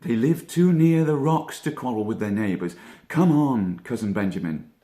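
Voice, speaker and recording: A man's voice: medium pitch, expressive, moderate pace, dark tone, roomy sound, voice pushed up, lightly acted. A man playing a level-headed, slightly pompous young rabbit in a children's storybook, wordy and reflective. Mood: knowing. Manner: reflective, wordy, self-important.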